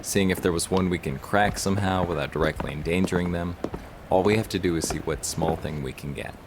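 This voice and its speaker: A man's voice: low voice, brisk, background noise, lightly acted. A man playing a shrewd, determined police investigator in an audio drama. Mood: focused.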